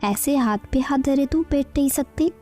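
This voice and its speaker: A woman playing a mellow, gentle village wife. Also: sits high, moderate pace, faint music behind, voice pushed up, natural voice. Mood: coaxing. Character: supportive farmer's wife, gentle, pious, reassuring.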